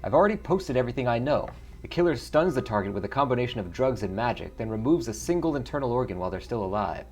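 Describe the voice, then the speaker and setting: A man voicing a wry, matter-of-fact dwarf coroner in a video game, explaining things in a measured way. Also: medium pitch, animated, darkish tone, soft background music, close to an everyday voice. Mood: clinical. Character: dry, wry dwarf coroner, principled and solitary.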